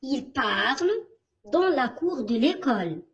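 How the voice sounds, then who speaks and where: A woman teaching a reading lesson to primary school children, asking questions and answering them herself in a slow, didactic way. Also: sits high, very animated, fairly bright tone, highs cut off.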